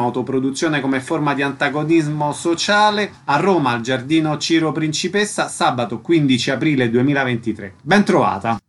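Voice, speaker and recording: Higher-voiced male radio interviewer. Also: fairly bright tone. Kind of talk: scripted radio interview presenting.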